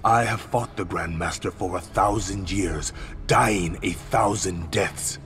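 An actor playing a grim, solemn, terse ninja warrior in a dramatic video game. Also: male voice, low voice, takes it slowly, fairly bright tone, faint music behind, exaggerated voice. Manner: stoic, solemn.

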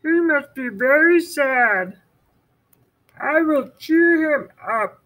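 A man voicing an anxious, eager male toad, high and pleading, in a storybook read-aloud. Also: expressive, takes it slowly, pushed and bright, exaggerated voice, rough recording. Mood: worried.

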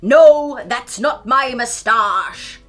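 A woman voicing a loud, booming, growling pirate in a children's storybook. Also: lively intonation, drawn-out words, faint music behind, exaggerated voice. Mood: bold, gruff.

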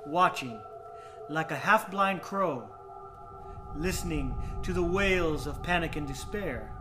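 An obsessed young madman narrating a gothic radio drama. His voice sits slightly high for a man and moves slowly, with brooding, deliberate menace. Music plays clearly behind him. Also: expressive.

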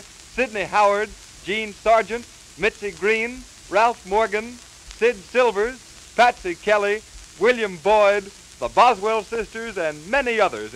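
A man delivering scripted 1930s promotional announcements with brisk, theatrical showman's hype. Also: high voice, fairly bright tone. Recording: hissy, crackly 1930s 78 rpm record. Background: record hiss and crackle.

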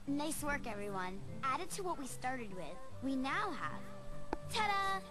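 A woman's voice: high-pitched, big pitch swings, unhurried. A woman playing a friendly, cheerful teenage girl in a video game, playful and sing-song. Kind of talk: video game cutscene acting. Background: music.

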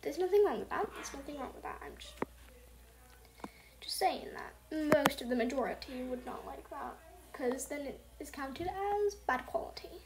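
A young woman hosting a casual gameplay video, talking softly and half-whispered, rambling and apologizing, recorded at home. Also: high voice, lively intonation, moderate pace, noisy background. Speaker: gaming channel host. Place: home with family noise.

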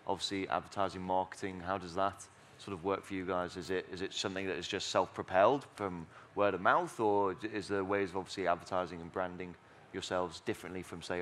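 A man's voice: low-pitched, steady tone, brisk, fairly bright tone, a little hiss or hum. A man moderating a conference panel, asking long, rambling questions full of fillers.

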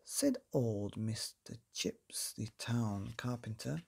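Guy reading a kids bedtime story, mellow gravelly voice, deliberate dramatic pauses. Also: medium pitch, animated. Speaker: storyteller reading a children's book. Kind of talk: expressive bedtime story narration.

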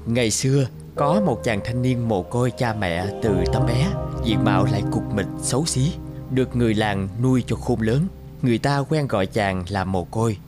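A man's voice: medium pitch, normal speed. A man narrating a scripted fairy tale as a storyteller. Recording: loud music under the voice.

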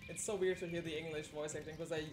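A woman's voice: low voice, little pitch movement, fast, background noise. A young woman whose first language is German, chatting through a live speedrun with many fillers. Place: indoor room at a live event. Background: game music.

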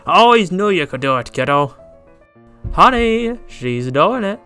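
A man's voice: sits high, very animated, a little slow, bright, forceful tone, clear, resonant tone, faint music behind, exaggerated voice. A man voicing a kind, encouraging father pony in an amateur cartoon drama, hearty and reassuring. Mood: proud. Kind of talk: amateur animated audio drama.

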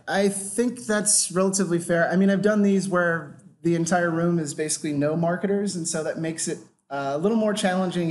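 An American man from Washington, D.C., giving a casual, filler-heavy lecture on marketing to a live audience. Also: a little high. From Washington, D.C., USA. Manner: casual, loose, frequent "uh" fillers. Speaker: guest lecturer on growth hacking. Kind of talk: conference talk to a live audience.

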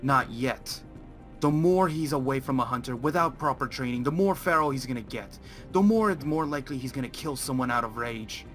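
A man acts a werewolf pack leader in an amateur game roleplay. He is insistent and probing, defending his pack in a mid-pitched voice. Faint music plays behind him. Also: lively intonation, a little fast, fairly bright tone, natural voice. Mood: defensive, firm. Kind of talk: amateur Minecraft roleplay acting.

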